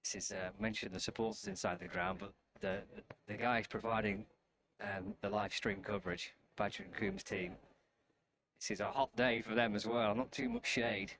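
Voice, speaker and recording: A man's voice: lowish voice, fairly even intonation, normal speed, background noise. A man co-commentating on cricket, bantering in a friendly way with his partner.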